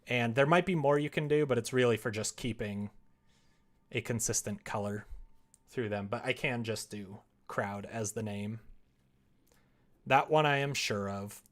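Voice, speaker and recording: A man's voice: mid-range voice, unhurried, pauses often. Casual man thinking aloud on a livestream. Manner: rambling, thinking aloud, self-deprecating. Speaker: livestreamer making a visual novel. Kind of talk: casual livestream chat while working.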